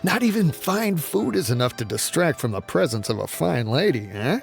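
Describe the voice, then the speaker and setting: A man's voice: on the high side, sing-song, moderate pace, creaky, soft background music. A man playing a flirty but nervous restaurant customer in a video game, trying to sound suave. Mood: smitten. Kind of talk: game voice acting.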